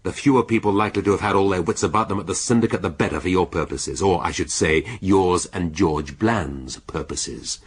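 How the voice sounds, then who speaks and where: Very deep-voiced British police inspector. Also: male voice, breathy edge. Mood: accusing. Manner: sardonic, deliberate, relishing his deductions. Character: shrewd, theatrical male police inspector, middle-aged. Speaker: police detective. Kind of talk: British radio drama acting.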